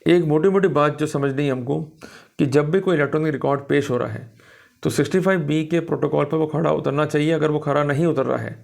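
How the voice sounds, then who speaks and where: Man, Indian accent, gravelly creak, slightly high pitch, law lecturer, instructive explanatory delivery. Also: a little mellow. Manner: didactic, often checks that listeners follow. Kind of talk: explanatory lecture mixing Hindi commentary with English read-outs.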